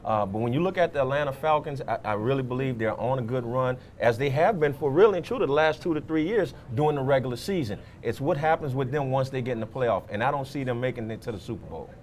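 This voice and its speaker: A man's voice: medium pitch, lively intonation, fast, few pauses, darkish tone, a little hiss or hum. A man giving his opinions as a panelist on a sports talk show. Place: live remote broadcast from a local venue.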